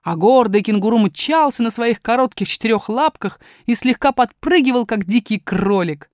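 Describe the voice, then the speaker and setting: A woman narrating a children's story expressively, with a dramatic and deliberate delivery. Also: a little high, darkish tone, no room sound, studio quality. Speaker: audiobook narrator.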